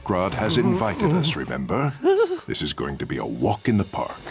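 A man's voice: low-pitched, wildly swooping pitch, normal speed, music in the background. A man playing a smug, self-assured criminal mastermind in a condescending way for a video game. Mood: smug, composed. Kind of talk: animated video game acting.

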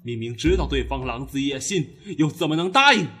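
An actor playing an outspoken, emphatic vice minister in a formal Chinese period audio drama. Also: male voice, medium pitch, animated, fairly quick, fairly bright tone, husky. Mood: indignant, urgent. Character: earnest, outspoken court vice minister opposing appeasement.

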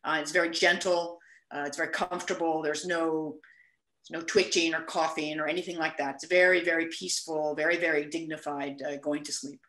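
Woman explaining medicine, bright roomy voice. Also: low-pitched, level tone, a little fast. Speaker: medical assistance in dying provider, panelist. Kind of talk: plain medical explanation on a panel. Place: indoor room on a video call. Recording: roomy, echoing video call.

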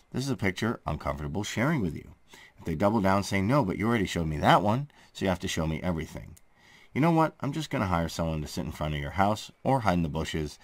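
A man with a deep voice narrates news like a chatty channel host. His pitch swings widely for emphasis, and the close-miked recording is dry and free of room sound. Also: no echo. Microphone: close voice-over microphone.

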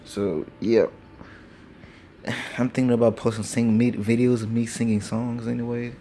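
A man's voice: mid-range voice, level tone, normal speed, warm tone, slight background noise. A teenage boy casually rambling through commentary over a mobile game, with lots of fillers, recorded on a phone at home. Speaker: gaming channel host. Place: home with train passing outside. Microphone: phone screen recorder.

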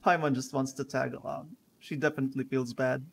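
A man's voice: mid-range voice, flat delivery, a little slow, vocal fry, a little hiss or hum. A man giving casual let's play commentary in a chatty voice-over. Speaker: gamer commentating on playthrough. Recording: voice-over above game music. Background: game music.

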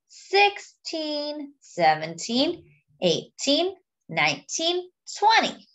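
A young woman reads a picture book aloud for little children. Her voice sits fairly high and swings widely in pitch. She goes very slowly, with a deliberate sing-song delivery made for young listeners. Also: fairly bright tone. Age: young adult. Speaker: children's story reader.

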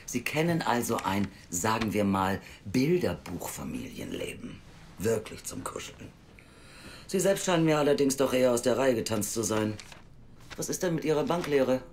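A gruff, suspicious female official in an audio drama. She is blunt and sardonic, with a very low voice for a woman and a lively melody. There is faint ambient noise behind her. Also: normal speed, full character voice. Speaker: adoption office clerk. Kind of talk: naturalistic audio drama acting.

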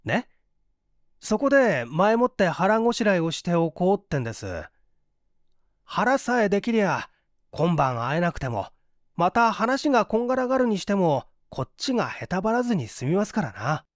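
A man's voice: sits high, sing-song, normal speed, no echo, clean studio sound. A man voicing a broke, hungry fellow in a storybook reading, coaxing and wry in half-polite talk. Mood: scheming, matter-of-fact.

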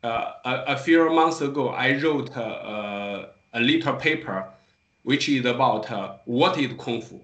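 A man's voice: mid-range voice, animated, a little slow, a bit bright, lo-fi sound. A man in his thirties, a kung fu teacher with a Chinese accent, speaking English hesitantly on a video call. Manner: hesitant, searching for words. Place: small room indoors. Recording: echoey room on a video call.

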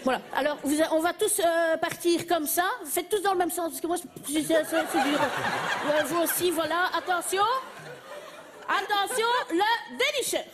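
A woman's voice: extremely high pitch, normal speed, crowd noise, background noise. A woman in her thirties with a Belgian French accent, a comedian performing a comic song with big theatrical gestures on a TV studio stage. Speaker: stand-up comedian. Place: large TV studio stage with audience. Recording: loud studio music behind.

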